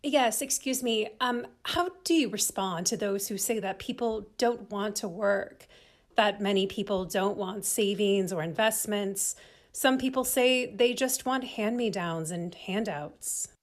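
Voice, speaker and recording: Woman doing polite press conference questioner pushing back, radio play, medium pitch. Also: measured pace, lightly acted. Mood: questioning. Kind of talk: amateur radio play acting.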